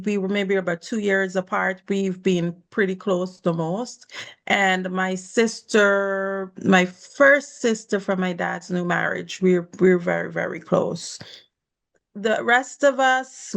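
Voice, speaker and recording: A woman's voice: medium pitch, vocal fry, fairly bright tone. A woman from the Caribbean, a guest on a conversation podcast, telling her life story in an earnest, gracious way with light laughs between thoughts. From Caribbean, born in Guyana, raised in Barbados.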